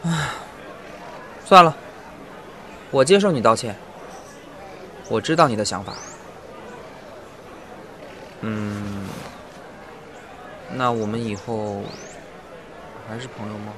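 A man playing a gentle, wistful young suitor who accepts rejection in a modern audio drama. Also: fairly low pitch, takes it slowly, long pauses, a faint crowd. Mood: resigned. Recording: clear outdoor background noise.

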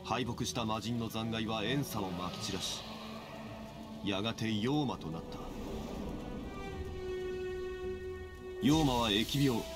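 Male actor as solemn storyteller over loud music. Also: fairly low pitch, measured pace, natural voice. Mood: grave. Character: solemn storyteller reciting an ancient legend. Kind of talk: formal scripted legend narration. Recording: loud cinematic music behind.

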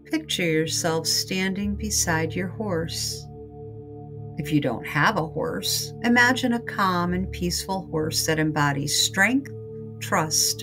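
Slow, low-pitched woman guiding meditation over music. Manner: calm, unhurried, soothing instruction. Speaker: meditation guide. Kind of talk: scripted guided meditation narration.

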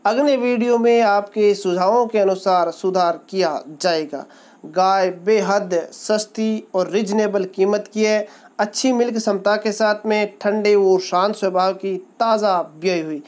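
Man, channel host, voice-over, steady sales pitch, listing features, formal greeting. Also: unhurried. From Rajasthan, India. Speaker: channel host, livestock seller presenter. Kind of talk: promotional voice-over describing a cow for sale.

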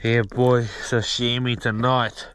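A man's voice: mid-range voice, little pitch movement, slow pace, fairly bright tone, smooth. A young man, a spearfishing vlogger who narrates his dives in a laid-back, slangy way and talks to his action camera while floating at sea. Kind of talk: voice-over narration and in-water commentary. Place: at sea, in the water, outdoors. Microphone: action camera on his head. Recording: music under the narration; water noise in the field.

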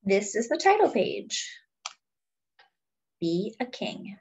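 A woman reading a picture book aloud to young children in a deliberate, teacherly way, adding simple explanations as she goes. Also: mid-range voice, big pitch swings, darkish tone, breathy edge, highs cut off. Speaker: children's read-aloud storyteller.

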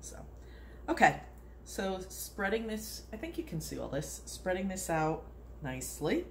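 A woman in her sixties talks through a home cooking demonstration in a loose, rambling way, breaking into little laughs. Her voice sits on the low side. The phone recording carries the echo of a hard-walled kitchen and a steady layer of background noise. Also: unhurried. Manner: chatty, rambling, laughs often. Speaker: home cooking video host.

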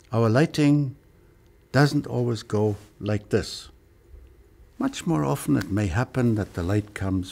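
An older man explains a lighting product on camera in a studio, showing it with his hands as he talks in a patient, measured way. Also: fairly low pitch, creaky, a little mellow.

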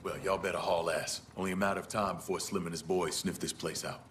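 A man's voice: low voice, fairly even intonation, fast, close to an everyday voice. A man playing a tough, cool young fighter in a video game, laconic and wry. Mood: calm, wry. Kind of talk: video game cutscene acting. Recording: loud music under the voice.

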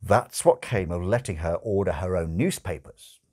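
A man in his sixties reads a comic novel aloud expressively, with dramatic, wryly emphatic phrasing and deliberate pauses, recorded in a room at home. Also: deep voice, a little mellow. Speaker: audiobook-style reader. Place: small furnished room at home, indoors.

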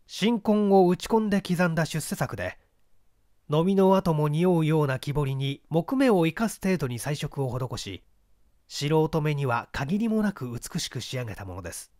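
Guy reading an old detective story, higher voice, expressive, formal phrasing, really dry sound. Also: normal speed, no room sound. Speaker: literary narrator, stage performer. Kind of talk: scripted dramatic reading of mystery fiction.

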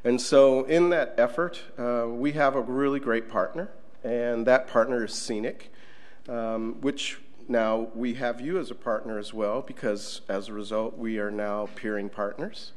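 A man's voice: mid-range voice, steady tone, measured pace. A middle-aged man giving a conference presentation in a casual, conversational way, with fillers, at a table microphone in a conference room. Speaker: conference speaker.

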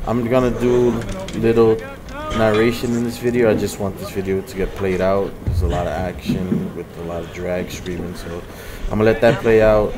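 A man narrating his own fishing video in a relaxed, rambling voice-over with plenty of fillers. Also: fairly low pitch, moderate pace, heavy background noise. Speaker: fishing channel host. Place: voice-over, not recorded on the boat.

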